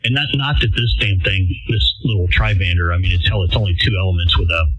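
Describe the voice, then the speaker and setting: A man's voice: low voice, fast talker, fairly bright tone, slightly rough, no echo, dull audio. A man in a casual, rambling, self-deprecating chat as an amateur radio operator, heard over a band-limited radio transmission.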